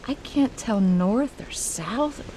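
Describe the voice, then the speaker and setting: A woman's voice: on the high side, expressive, slow pace, dark tone, slight background noise, poor audio. An actress playing a resourceful, optimistic woman adventurer with a bantering, wry manner in an audio drama. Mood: awed, lost.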